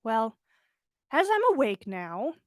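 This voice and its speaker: A woman's voice: on the high side, big pitch swings, slow pace, no room sound, clean studio sound. A woman voicing a hungover young wizard man, good-natured but wry and grumbling, in a story read aloud. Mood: startled, grumbling. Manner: exasperated, wry mock-grumbling.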